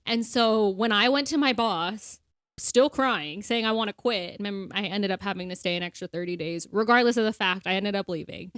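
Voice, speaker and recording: A woman's voice: mid-range voice, moderate pace, a bit bright, dry recording, studio quality. A young woman co-hosting a casual podcast, rambling with lots of fillers and frequent laughs. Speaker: podcast co-host.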